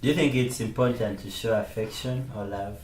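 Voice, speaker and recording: A man's voice: fairly low pitch, fairly quick, a little mellow, slight background noise. A man from Uganda interviewing a guest with short prompting questions. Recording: echoey room with faint ambience.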